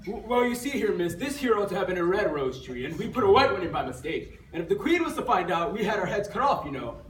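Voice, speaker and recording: A nervous, folksy storybook gardener, acted out on stage, stammering and quick, with lively pitch swings. The recording is distant and echoey. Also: close to an everyday voice. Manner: stammering, hesitant. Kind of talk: readers theater stage performance. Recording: distant echoey room, faint noise.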